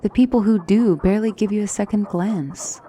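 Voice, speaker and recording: A woman playing a poised, formal demonic tutor in a fantasy ASMR roleplay, speaking as a wise and gently reassuring mentor with measured, courtly phrasing. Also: mid-range voice, a little hiss or hum, close to an everyday voice. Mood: gentle, amused. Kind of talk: ASMR fantasy roleplay, scripted character acting.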